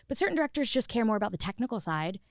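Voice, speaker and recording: A woman's voice: a little high, big pitch swings, rapid-fire, no room sound, studio quality. A woman talking conversationally as an interview guest, telling stories with frequent "like" and acted-out quotes. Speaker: podcast guest, actor turned director.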